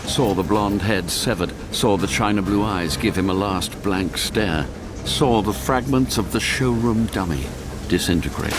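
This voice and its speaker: Very deep male radio drama narrator. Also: heavy background noise.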